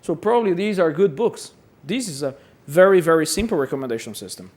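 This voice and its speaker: A man's voice: a little high, lively intonation, fairly quick, darkish tone. A man from Brazil giving a chatty, self-deprecating conference talk, often repeating words as he goes. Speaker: conference speaker. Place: conference hall.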